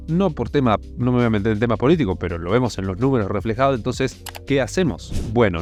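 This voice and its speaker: Hoarse Argentine man explaining numbers. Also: lowish voice, darkish tone. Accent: Argentine Spanish. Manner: plainspoken, walks through numbers step by step. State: hoarse from a sore throat. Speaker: finance channel host. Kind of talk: explaining investments over spreadsheets.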